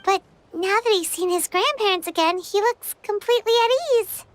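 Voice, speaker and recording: A woman voicing a tiny, childlike, excitable fairy companion in a game, squeaky and wide-eyed. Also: normal speed, a little hiss or hum. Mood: bright, relieved. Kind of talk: English game dub acting.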